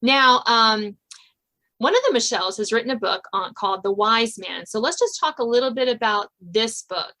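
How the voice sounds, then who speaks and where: Bright-voiced woman podcast host. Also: on the high side, moderate pace. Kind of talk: interview podcast.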